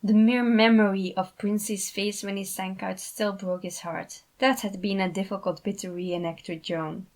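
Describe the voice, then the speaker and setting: Woman reading a fanfic out loud, mid pitch, scripted narrator thing. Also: gravelly. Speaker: fan fiction author and reader.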